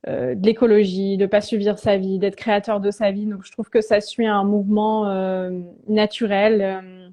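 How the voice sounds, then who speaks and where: Mellow-voiced woman in her thirties. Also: mid-range voice, little pitch movement. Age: 30s. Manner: reflective, halting, many restarts and fillers. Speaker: business coach, guest. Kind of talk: casual live-stream conversation.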